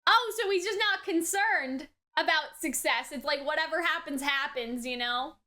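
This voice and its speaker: A woman hosting a reaction video, commenting casually in an animated, exclamatory, slangy way. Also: high-pitched, normal speed.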